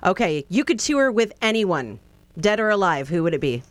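A woman's voice: on the low side, sing-song, moderate pace, no room sound. A woman co-hosting a podcast, chatting in an informal, playful interview style. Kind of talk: casual interview chat.

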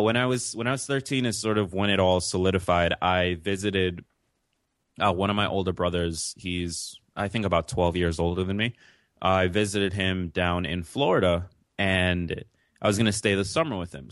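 A man's voice: low-pitched, normal speed, a bit bright, dry recording, studio quality. A young man, born in Jamaica, answering interview questions in an earnest, reflective way with frequent restarts. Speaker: interview guest. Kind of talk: conversational interview.

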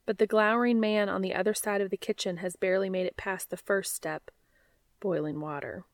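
A woman narrates a piece of fiction in the manner of an audiobook. Her voice sits at an average female pitch and is recorded close and dry, with very little room sound. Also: steady tone, a little fast, dry recording. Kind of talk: scripted story narration.

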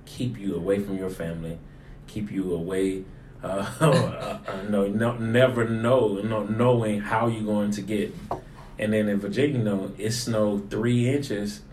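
Low-voiced male pastor in a roomy space. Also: fairly even intonation, a little slow, darkish tone. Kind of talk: casual conversational discussion of a sermon. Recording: roomy echo with clear background noise.

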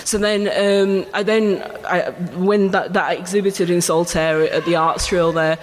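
Man, high voice, animated and laughing, live hall reverb, faint background hum. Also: a little slow. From England. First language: Urdu. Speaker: photographer guest. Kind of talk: live stage conversation. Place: arts venue stage before a live audience.

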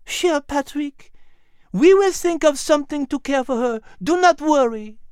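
A woman voicing a gentle, caring French female storybook animal with a French accent, speaking tenderly and earnestly. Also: high-pitched, animated, unhurried, no room sound, studio quality, voice pushed up. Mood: tender concern.

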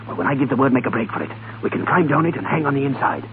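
Through a tinny, scratchy old radio broadcast comes a man acting a brave rebel leader. His delivery is clipped and romantic, swinging widely in pitch, commanding yet tender. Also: sing-song, racing, lightly acted. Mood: urgent, commanding. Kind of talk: 1930s radio drama acting. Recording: scratchy band-limited 1930s radio broadcast, music.

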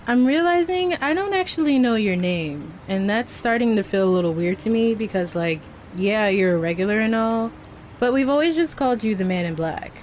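A woman's voice: a little high, lively intonation, normal speed, darkish tone, a little hiss or hum, close to an everyday voice. A woman playing a casual, forthright, teasing barista in a naturalistic fiction podcast. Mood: frank, curious. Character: frank, flirty, casual female barista.

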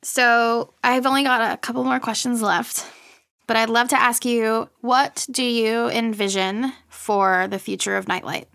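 A woman's voice: fairly high pitch, fairly even intonation, unhurried, vocal fry, fairly bright tone. A woman hosting a conversational podcast interview, affirming and supporting her guest as she asks questions.

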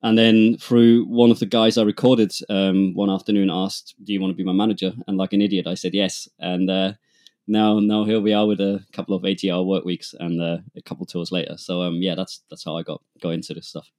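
A German-accented man chats as a podcast guest, relaxed and rambling. His voice is low and deep, and he often makes light of himself. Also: brisk. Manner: relaxed, rambling, self-deprecating. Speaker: guest, music producer and manager. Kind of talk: casual interview chat.

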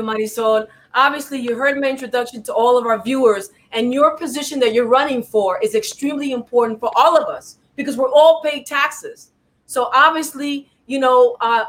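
A woman's voice: high voice, a bit bright. A woman from New York hosting a talk show, interviewing a guest in an upbeat, friendly, chatty way.

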